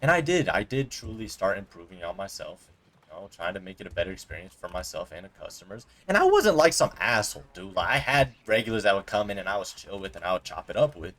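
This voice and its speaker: A man's voice: deep voice, very animated, fast talker, a bit bright. A young man from Colorado telling a casual, profane story to camera as a vlogger, heavy on slang fillers, recorded at home on a cheap desk mic. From Colorado, USA. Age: young adult. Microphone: cheap desk mic resting on a coin jar.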